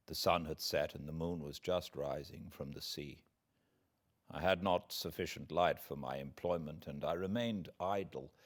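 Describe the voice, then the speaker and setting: Slow, deep British male storyteller. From Britain. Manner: measured, theatrical delivery with hushed passages and swelling emphasis. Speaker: audiobook narrator reading aloud. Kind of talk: dramatic literary narration.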